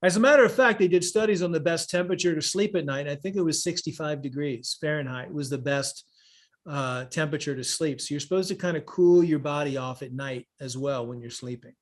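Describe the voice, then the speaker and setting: A man with a slightly high voice leads an online wellness session, answering in an informal teaching style. He rambles, circles back on his phrases and piles up examples. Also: brisk. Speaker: wellness teacher and event leader. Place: live online group call.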